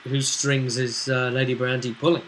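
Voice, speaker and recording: Bright-voiced man hosting a roleplay recap. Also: medium pitch, little pitch movement, moderate pace, a little hiss or hum. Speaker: game master and show host. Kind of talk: casual recap chat about a tabletop roleplay campaign.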